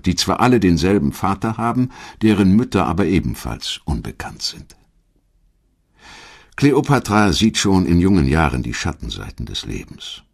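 A man reading a scripted audio documentary in a measured, dramatic storytelling manner, with a husky voice. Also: low-pitched, darkish tone. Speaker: documentary narrator. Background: background music.

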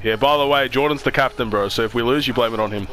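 A young man hosting a gaming video, joking and shouting in casual chat with friends. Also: medium pitch, fast talker. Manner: joking, laughing, shouting at goals.

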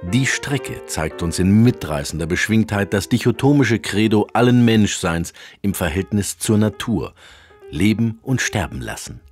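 An actor playing a pompous art critic, delivering solemn, florid narration in a mock radio documentary. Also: male voice, on the low side, lively intonation, a little slow, soft background music. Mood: solemn, reverent.

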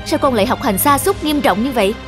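A woman's voice: high-pitched, lively intonation, fast, music in the background. A woman playing a stern, worried mother in a cartoon dub. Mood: stern, disappointed. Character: stern, worried mother scolding her son. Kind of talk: Vietnamese cartoon dubbing.

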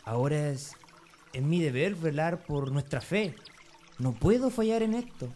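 Actor as zealous Pharisee, mellow, fairly high voice. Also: male voice, expressive, a little slow, a little hiss or hum. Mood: troubled, resolute. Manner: earnest, resolute, declarative. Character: zealous young Pharisee, proud, dutiful defender of tradition. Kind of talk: amateur Spanish-language radio drama acting.

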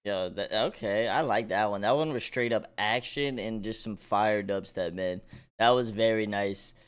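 A man's voice: lowish voice, moderate pace, clear, resonant tone. A man giving slangy, appreciative reaction commentary as a video host.

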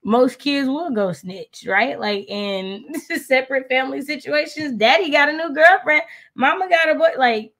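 A woman's voice: sits high, moderate pace, hardly any pauses, a bit bright. A young American woman in a casual book discussion, speaking emphatically and with opinion, repeating her points for effect. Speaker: readalong co-host. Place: room at home, video call.

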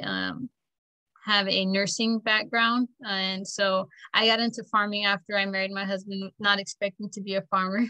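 A woman in her thirties, a farmer and technical assistant from Florida, giving a steady, plain-spoken presentation over a laptop video call. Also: mid-range voice, dry recording, low-quality recording. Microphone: laptop webcam on a video call.

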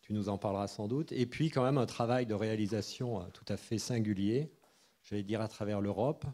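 An older man, a professor, slowly introducing a guest speaker in a lecture hall, pausing with fillers. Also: on the low side, mellow. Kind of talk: spoken introduction. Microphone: handheld microphone.

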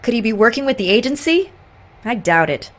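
An actress playing a composed, analytical intelligence agent in a game cutscene, measured and matter-of-fact. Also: female voice, fairly high pitch, big pitch swings, fairly bright tone. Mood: pensive, businesslike. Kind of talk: video game cutscene acting.

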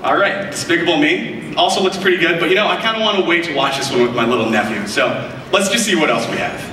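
A man's voice: a little high, animated, quick pace, recorded from a distance, background noise. A young man giving an upbeat, scripted product demonstration on a large keynote stage. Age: young adult. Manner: upbeat, enthusiastic presenter. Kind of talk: scripted live product demo. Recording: echoey hall audio picked up from afar.

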